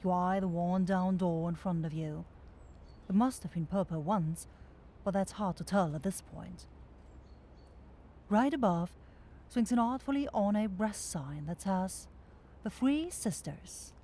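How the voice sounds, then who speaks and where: A woman's voice: fairly low pitch, measured pace, long pauses, warm tone. A woman giving scripted second-person narration for a fantasy ASMR roleplay.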